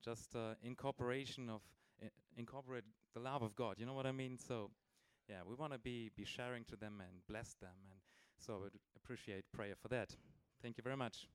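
A man speaks hesitantly and quietly, asking for prayer. His voice is smooth and resonant, recorded dry. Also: mid-range voice, steady tone, fairly quick, few pauses, no room sound. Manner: hesitant, soft-spoken request.

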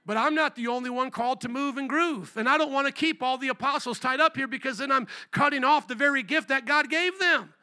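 A middle-aged man from Indiana preaching a sermon as pastor, animated, rising to near shouts on rhetorical questions. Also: very high-pitched, brisk, hardly any pauses. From Indiana, USA.

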